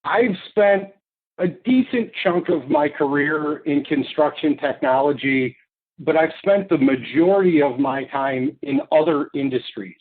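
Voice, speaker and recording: A man talks through a webinar presentation in a higher-pitched voice, chatty and unscripted, with fillers. His voice comes through a narrow, tinny, phone-like line. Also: lively intonation, unhurried, breathy edge. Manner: talkative, loose, with fillers and laughs. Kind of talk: relaxed conversational presentation.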